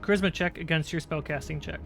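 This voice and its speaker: A man's voice: a little high, quick pace, a bit bright, soft background music. A man acting as game master, explaining rules, prompting the player and giving short confirmations. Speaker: game master, host of the livestream. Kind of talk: tabletop game refereeing and narration.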